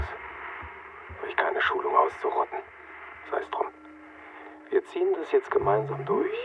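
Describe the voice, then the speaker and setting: Through heavy noise and a dull radio filter comes a theatrical male plotter. He declaims with mockery and grandeur, like someone in a radio play overheard on a surveillance receiver. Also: on the low side. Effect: listening-device radio filter. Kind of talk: radio drama acting. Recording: muffled, very noisy bugged-room radio reception.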